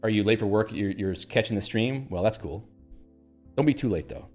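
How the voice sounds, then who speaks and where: A man's voice: lowish voice, moderate pace, darkish tone, faint music behind. A man hosting a casual livestream, chatting in a rambling way with fillers and restarts and reading out viewer comments. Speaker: livestream host.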